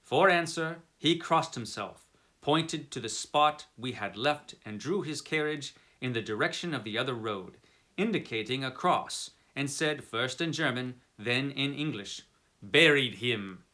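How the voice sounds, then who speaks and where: Man with a bright, theatrical reading voice. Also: medium pitch, lively intonation, a little slow. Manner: theatrical, dramatic narration with wide swings in emphasis. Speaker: reader of a classic horror story. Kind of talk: melodramatic story reading.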